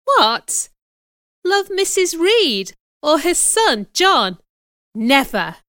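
A woman's voice: high voice, sing-song, slow, fairly bright tone, smooth, dry recording, studio quality, exaggerated voice. A woman voicing an outspoken, sensitive young schoolgirl in an audiobook, speaking with emotion and emphasis. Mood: indignant. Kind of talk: audiobook character voice.

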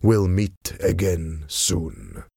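A man voicing a cold, cunning French ambassador of forty in an audiobook, smooth-tongued, deliberate and menacing. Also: fairly low pitch, animated, darkish tone, no room sound, studio quality, full character voice.

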